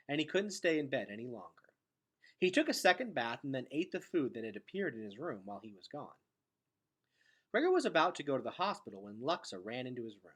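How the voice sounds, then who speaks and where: A man reading a children's novel aloud in an expressive storytelling style. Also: medium pitch. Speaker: read-aloud reader.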